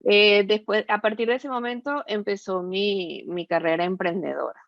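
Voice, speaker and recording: A woman's voice: medium pitch, moderate pace, vocal fry, no echo, highs cut off. A middle-aged woman with a Venezuelan accent giving conversational interview answers over an online call, talkative and often adding little tag questions. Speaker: podcast guest, entrepreneur and business consultant. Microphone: remote online call.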